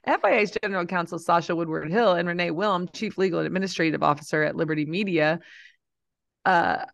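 A woman's voice: on the low side, fairly quick, creaky. A woman co-hosting a casual podcast, reading news items aloud and joking about them. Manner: reads news aloud, then jokes about it. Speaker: podcast co-host.

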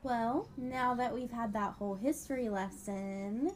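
A woman's voice: a little high, a little slow, rough recording. A woman co-hosting an educational podcast, playing the curious learner in a scripted dialogue. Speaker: podcast co-host.

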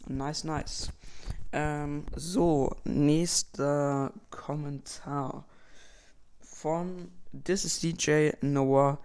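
A young teenage boy from Berlin hosts his own podcast, working through listener questions one by one. His voice sits a little high, and he rambles casually, full of fillers and slangy asides. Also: fairly even intonation, very slow, darkish tone, clear, resonant tone. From Berlin, Germany. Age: young teen boy, entering eighth grade. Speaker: podcast host. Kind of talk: casual Q&A, reading out listener comments.

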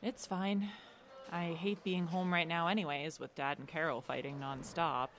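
A woman's voice: low-pitched, steady tone, normal speed, a bit bright, faint music behind. Guarded, dry teenage girl athlete played by a woman. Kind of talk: audio drama acting, naturalistic dialogue.

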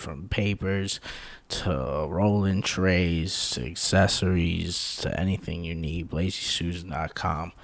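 A man's voice: deep voice, creaky. A man talking as a podcast co-host in a casual, unpolished way. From New York, United States. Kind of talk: casual, profane podcast chat.

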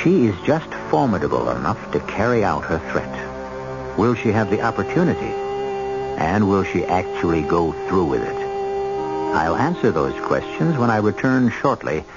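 A man hosting and narrating a radio drama in a theatrical, measured, literary style. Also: low-pitched, sing-song, creaky. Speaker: host and narrator. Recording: dull old radio recording, music behind. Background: music.

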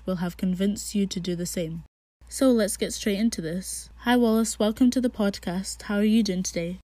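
A woman's voice: medium pitch, dark tone, no room sound. A woman hosting a podcast, presenting introductions and interview questions. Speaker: podcast host interviewing a guest.

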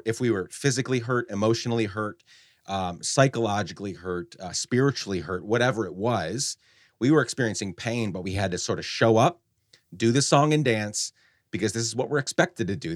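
A man from Guatemala hosts the conversation in a low voice, reflecting earnestly and often restarting his sentences. The recording is dry, with no room sound around him. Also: no room sound. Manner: earnest, halting, many restarts. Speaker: podcast host. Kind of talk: reflective interview talk.

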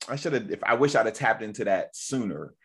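A man hosting a casual conversation, chatty and animated, with a deep voice and lots of fillers. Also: brisk, slightly rough. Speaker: podcast host.

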